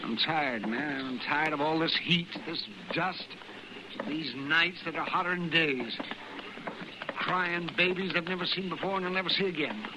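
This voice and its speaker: Weary, grumbling older frontier physician played by a man, high-pitched and animated, radio Western, very noisy vintage recording. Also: normal speed, lightly acted. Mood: weary, fed up. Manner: grumbling, crotchety. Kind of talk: old-time radio Western acting.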